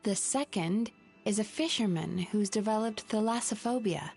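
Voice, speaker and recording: Actress voicing calm, clinical young woman in a game, mid voice, steady and firm. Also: medium pitch, normal speed, gravelly, faint music behind, natural voice. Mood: composed. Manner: composed, gentle yet firm, clinical. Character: composed young woman, gentle psychologist type. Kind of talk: video game cutscene dub.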